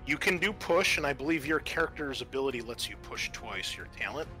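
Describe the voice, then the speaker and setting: Male game master, bright forceful voice, patient casual rules talk, music underneath. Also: medium pitch, animated, fairly quick.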